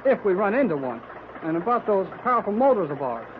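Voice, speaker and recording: A plucky American lad speaks in a high, boyish voice, eager and a little anxious. It is old radio serial acting on a dull recording with clear noise. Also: male voice, very animated, a little fast. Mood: earnest, uneasy. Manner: earnest, boyish. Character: earnest, worried teenage boy deckhand, eager and plucky.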